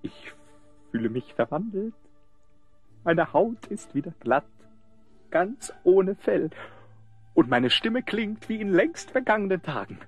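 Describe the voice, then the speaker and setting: A man's voice: sits high, very animated, slow, long pauses, slightly rough, faint music behind, full character voice. An actor playing a cunning, self-satisfied male rogue in a German audio drama, speaking in florid theatrical style. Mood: amazed. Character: gloating, cunning rogue, transformed back into a man.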